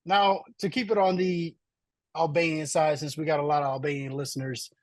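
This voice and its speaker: A man's voice: fairly high pitch, level tone, a little fast, dry recording. Male podcast host, encouraging and chatty, dry close-miked voice. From Slovenia. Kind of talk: casual podcast interview.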